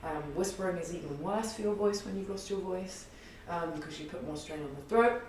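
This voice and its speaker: Woman, early 30s, husky alto, rambling and chatty, far from the mic, strong room echo. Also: fairly low pitch, a little fast, a little mellow, slight background noise. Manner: chatty, rambling storytelling with many fillers. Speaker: guest, singer and theatre artist. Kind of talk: casual podcast interview.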